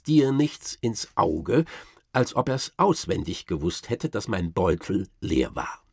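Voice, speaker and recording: A man playing a boastful nobleman huntsman who tells tall tales with theatrical, self-satisfied emphasis in a radio drama, his voice gravelly and husky. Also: sits low, no room sound, studio quality, exaggerated voice. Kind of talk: dramatic literary storytelling for radio.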